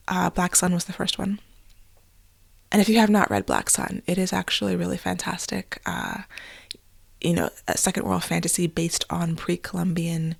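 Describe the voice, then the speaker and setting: A woman's voice: medium pitch, vocal fry, no echo. A woman hosting her own podcast in a casual, candid solo talk, sounding a little stuffy and nasal as she gets over an illness. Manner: chatty and candid, with fillers and small laughs. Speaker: podcast host and author.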